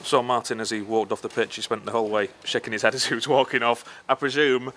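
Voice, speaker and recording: Fast-talking male sports interviewer. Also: medium pitch, fairly even intonation, sharp tone, slight background noise. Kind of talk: conversational post-match interviewing. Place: outdoors at a football ground at night.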